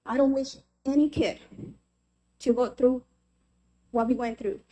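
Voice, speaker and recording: Woman from el salvador, testifying, heartfelt and earnest, kinda high voice. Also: fairly even intonation, measured pace, mellow. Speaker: member of the public testifying. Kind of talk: personal testimony at a legislative hearing.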